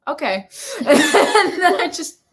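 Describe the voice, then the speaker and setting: A woman's voice: very high voice, very animated, slow. A young woman chatting casually as an interview guest, giggly and self-deprecating. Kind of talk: casual chat.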